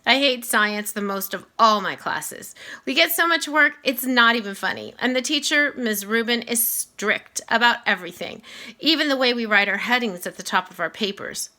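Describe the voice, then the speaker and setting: A woman reading a children's novel aloud in expressive first-person narration, shifting delivery for dialogue and asides. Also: a little high, normal speed.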